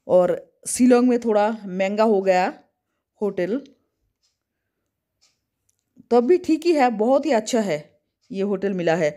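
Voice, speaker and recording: A woman's voice: mid-range voice, animated, normal speed, warm tone. A woman travel vlogger from West Bengal chatting casually on a road trip, listing places and costs as she goes, recorded on a phone held at arm's length in a car and outdoors.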